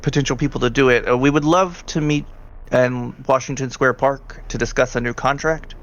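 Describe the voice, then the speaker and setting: A man's voice: medium pitch, fairly even intonation, normal speed, fairly bright tone, lo-fi sound, close to an everyday voice. A man voicing a businesslike client offering work in a tabletop game.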